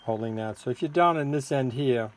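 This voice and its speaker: A man giving a casual hands-on product demonstration as a seller, halting and thinking aloud while he works the controls. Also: mid-range voice. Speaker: seller demonstrating a homemade electronics gadget. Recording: clearly noisy, with electronic tweets and siren-like tones.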